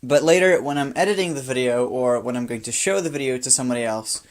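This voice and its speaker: A man's voice: medium pitch, quick pace. A sixteen-year-old boy from Brazil with a light Brazilian Portuguese accent, a native Portuguese speaker talking off the cuff to his phone camera, sounding tired and rambling with many restarts. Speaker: vlogger. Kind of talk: off-the-cuff personal talk to camera.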